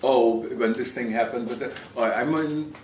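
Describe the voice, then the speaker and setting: A man's voice: mid-range voice, fairly even intonation, moderate pace, a little mellow. An older man with a slight Latvian accent, reminiscing casually in a conversational, self-deprecating way as an interviewee. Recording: echoey room, distant mic, noisy background.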